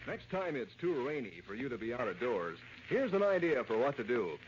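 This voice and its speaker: An American man giving an enthusiastic, folksy, emphatic sales pitch in 1940s radio advertising style. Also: sing-song, brisk, husky. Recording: muffled, noisy vintage radio recording.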